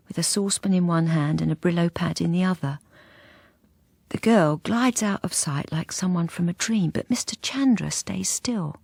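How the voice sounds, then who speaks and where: A woman's voice: on the low side, creaky, slightly rough, no echo, heavily acted. An actress playing a wistful, lonely wife narrating her life in an intimate, reflective radio drama monologue. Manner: intimate, reflective, softly hesitant. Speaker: lead character and narrator.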